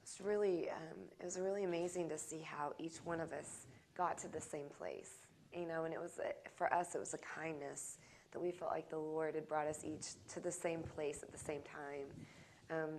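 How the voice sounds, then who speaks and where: A woman musician in an interview, speaking earnestly and reflectively with many ums and pauses. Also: sits low, darkish tone, slight background noise.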